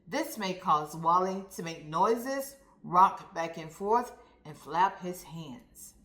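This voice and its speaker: Female read-aloud narrator for young kids, slow and deliberate with animated melody, addressing the children directly. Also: lowish voice. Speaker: storyteller reading aloud to children. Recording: roomy, echoey sound.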